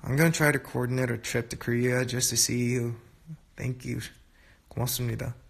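A young man hosting a casual phone livestream from a dim room at night, reading viewer comments aloud and chatting back in a relaxed way. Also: mid-range voice, fast talker, gravelly. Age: young adult. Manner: relaxed, chatty, switching between English and Korean. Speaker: livestream host. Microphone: phone held close at arm's length.

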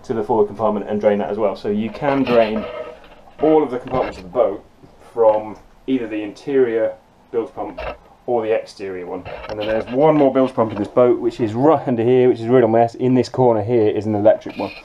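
Man, 40s, lowish voice, practical casual tour talk, close handheld camera in a cramped cabin, noisy with handling clicks. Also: brisk, dull audio. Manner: matter-of-fact, practical, with frequent ums. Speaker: sailor giving a boat tour. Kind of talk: casual walkthrough. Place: cramped cabin of a small yacht. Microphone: handheld action camera close up.

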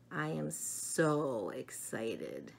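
Slow, creaky middle-aged woman talking to kids. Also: very deep voice, a little hiss or hum. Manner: slow, animated, talking as to young children. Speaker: storyteller showing children books and eggs. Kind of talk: casual chat to children. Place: small room in a home.

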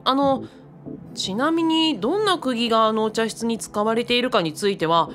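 A woman playing a polite, earnest and easily flustered young man in an audio drama, in an eager, expressive way. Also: on the high side, normal speed. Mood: polite, explaining. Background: background music.

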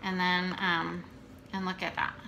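A woman in her thirties casually showing her meal prep at home, talking in an unhurried way with many fillers. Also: fairly low pitch, level tone, vocal fry, pushed and bright. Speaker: fitness coach vlogger. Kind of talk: casual how-to vlog. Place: home kitchen, indoors. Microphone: camera on the counter. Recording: echoey kitchen with room noise.

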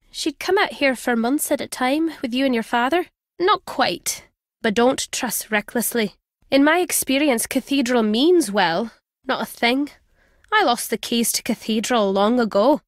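Actress as middle-aged lady in a game, kinda high voice, wry n blunt, very dry close recording. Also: dry recording, natural voice. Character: middle-aged woman, old family friend, wary and blunt. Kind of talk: scripted video game voice acting.

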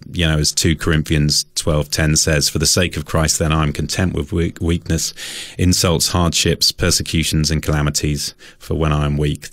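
A man's voice: rumbling low, a bit bright, no room sound. A man speaking as a singer-songwriter guest in a reflective radio interview, earnest and hesitant as he searches for words.

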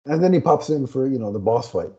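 A man in a home room rambles through a comic review, stopping often to hunt for the right word. His voice is very dark and mellow. It is captured through a headset on a dull, lo-fi video call. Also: mid-range voice, expressive, fast talker. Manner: rambling, often searching for words. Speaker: podcast co-host. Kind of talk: casual comic review chat.

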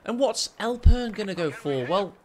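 Excitable deep-voiced man casting a game. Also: moderate pace, a little hiss or hum. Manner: excitable, jokey, rising with the action. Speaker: game caster. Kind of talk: live game commentary. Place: small home room. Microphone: desk microphone. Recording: game sound effects under the voice. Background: game gunfire and ambience.

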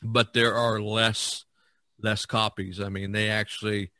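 A man with a lowish voice hosts a casual podcast discussion. He feels his way along in a hesitant, meandering manner. The sound is dry and close. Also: level tone, a little slow, bright, forceful tone, dry recording. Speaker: podcast host.

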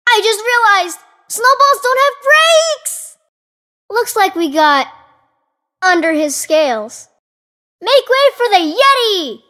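A plucky young boy hero from a video game, with a very high, wildly animated voice. He is exuberant and playful, calling out bravely in unhurried, bright tones. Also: exaggerated voice. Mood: excited, heroic. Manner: exuberant, playful, heroic exclamations. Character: excitable, brave young boy adventurer with a yeti friend.

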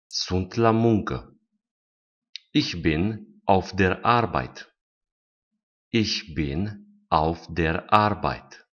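A man teaching German phrases in a slow lesson, saying each phrase twice with the second repeat slower. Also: sits low, fairly bright tone. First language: Romanian. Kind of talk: slow language lesson with repetitions. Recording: dull lo-fi recording.

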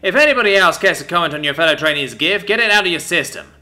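A man's voice: high voice, animated, fast, pushed and bright, voice pushed up, heavily acted. A man voicing a strict male Air Force drill sergeant who barks orders in a clipped, commanding way.

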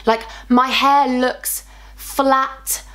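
A woman's voice: high voice, extremely slow. A young woman, chatting bubbly and gushing in a casual beauty haul vlog, her voice a little worn. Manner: bubbly, gushing, animated with hands. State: slightly losing her voice. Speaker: beauty vlogger. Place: small indoor room with sofa.

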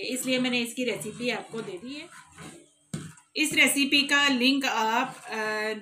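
A woman giving calm, step-by-step cooking instructions in a home kitchen, talking directly to her viewers while she cooks. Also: a little high. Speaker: cooking channel host. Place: home kitchen, off camera over a pot.

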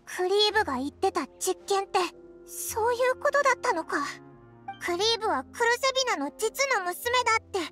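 A woman voicing a tiny, excitable fairy girl companion in an anime game, squeaky, childlike and blunt. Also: brisk, faint music behind. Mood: curious, dismayed. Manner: blunt, exclamatory, rough boyish phrasing.

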